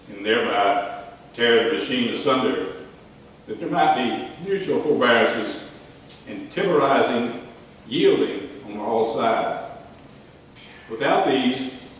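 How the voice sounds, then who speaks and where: A man reading as a grave, courteous statesman in a stage reading of a letter, in measured, deliberate short phrases. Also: mid-range voice, lightly acted. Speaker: amateur actor in a dramatic reading. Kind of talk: formal dramatic letter reading. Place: museum room, live community theatre event. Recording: echoey hall from far off, faint noise.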